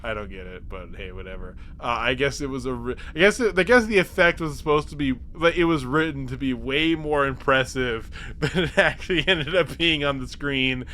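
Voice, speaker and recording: A man hosting a podcast, giving a casual, comedic episode recap with halting, repetitive, sweary phrasing. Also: mid-range voice, lively intonation, bright, forceful tone, slight background noise. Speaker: podcast host.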